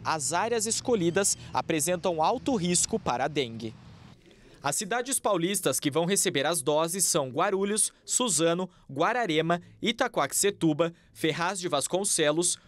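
A man's voice: a little high, a bit bright. A young man, narrating a scripted TV news report.

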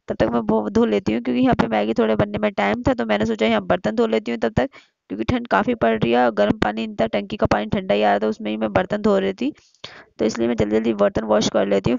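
A middle-aged woman with a hoarse voice from a sore throat, chatting casually through a cooking vlog in her home kitchen and explaining each step to her viewers. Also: medium pitch, a little mellow, no room sound. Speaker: vlogger. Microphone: phone camera. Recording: slightly muffled phone audio.

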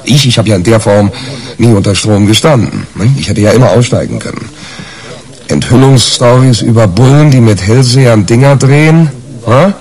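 An actor playing a brash, hungover reporter in a 1980s radio crime drama, colloquial, blustery and hesitating. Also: male voice, medium pitch, animated, normal speed, darkish tone, natural voice. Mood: angry, taunting. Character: brash, hungover, cynical male reporter, scheming and combative. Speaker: lead actor and narrator in a crime radio play.

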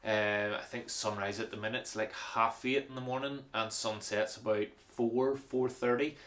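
A man's voice: lowish voice, moderate pace. A man casually walking through his winter hiking kit, explaining each item with plenty of fillers and repeated words. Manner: relaxed, practical, frequent fillers and repeated words. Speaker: hiking vlogger. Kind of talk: casual gear walkthrough.

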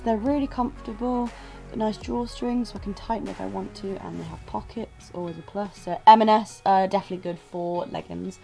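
Young woman chatting to her camera in her student flat, bit croaky, laughs a lot, music under it. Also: mid-range voice. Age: 20s. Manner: chatty and upbeat, laughs often. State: slightly croaky voice. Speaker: drama school student vlogger. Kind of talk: casual vlog chat. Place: small student flat bedroom and kitchen, indoors. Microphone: camera mic at arm's length.

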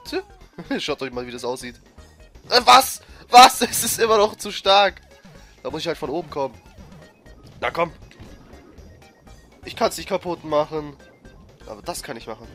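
A man with a cold giving excitable, frustrated commentary over a platform game he is playing. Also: on the high side. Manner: frustrated, excitable, swears and laughs. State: has a cold, coughing. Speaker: let's player. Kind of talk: gameplay commentary. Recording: faint game music behind. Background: game music.

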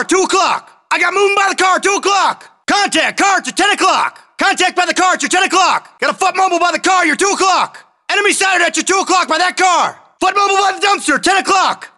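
An actor playing an urgent special forces soldier in a video game, barking clipped military callouts. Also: male voice, extremely high pitch, sharp tone, heavily acted. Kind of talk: shouted military battle chatter, game voice lines.

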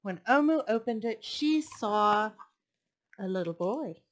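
A young woman hosting a storytime, reading picture books expressively with deliberate phrasing for young listeners. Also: mid-range voice, expressive. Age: young adult. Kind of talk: expressive children's story read-aloud. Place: indoors, furnished home room. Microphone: headset microphone.

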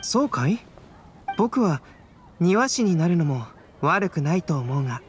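Composed, light-voiced young alchemist. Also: male voice, fairly high pitch, sing-song, slight background noise. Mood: gently teasing. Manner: composed, measured, wry. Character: calm, softly teasing young male alchemist scholar. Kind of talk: anime game voice acting. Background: game music.

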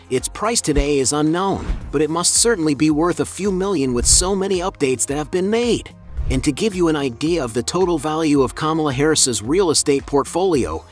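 Steady male narrator over music. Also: a little high. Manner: even, steady read with no fillers. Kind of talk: scripted narration.

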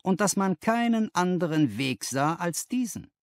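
A man voicing a confident, analytical gentleman detective who lectures emphatically in an audiobook, posing rhetorical questions. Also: sits high, sing-song, unhurried, dry recording, studio quality, pitched above the performer's own voice, exaggerated voice. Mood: instructive. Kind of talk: audiobook character dialogue.

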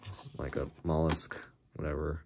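A young man with a deep, cracked, slightly hoarse voice, rambling casually with lots of fillers while showing off a toy build. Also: steady tone, moderate pace, slight background noise. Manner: rambling, many fillers and restarts. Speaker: Lego YouTuber. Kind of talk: casual show-and-tell of a build. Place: small room indoors at a table. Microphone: camera filming hands over a desk.

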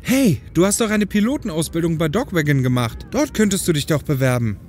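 A man's voice: fairly high pitch, expressive, fairly quick, darkish tone, faint music behind, lightly acted. A man playing a young, admiring younger brother in an audio drama, talking in a casual, curious way. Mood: earnest. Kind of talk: German audio drama acting.

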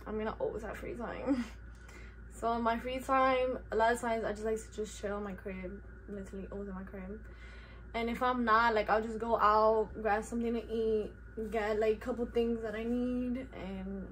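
A teenage girl from New York with a New York accent, Spanish her first language, chatting in a relaxed, slangy way in a bedroom vlog Q&A. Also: a little high, moderate pace, slight background noise, rough recording. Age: teens. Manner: laid-back, slangy, self-teasing. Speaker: YouTuber. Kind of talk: casual Q&A vlog. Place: bedroom, indoors.

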